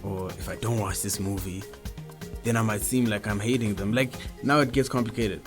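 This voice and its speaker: A man's voice: fairly low pitch, fairly even intonation, moderate pace. A man co-hosting a casual chat, speaking in a colloquial, agreeable way. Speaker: co-host giving reactions. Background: clear music behind the voice.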